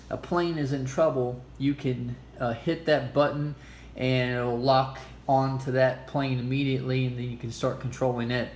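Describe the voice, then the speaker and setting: A man hosting a casual hands-on game demonstration, explaining things informally with a self-amused, nerdy enthusiasm. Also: mid-range voice. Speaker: host of a retro game play-through video. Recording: clearly noisy amateur recording.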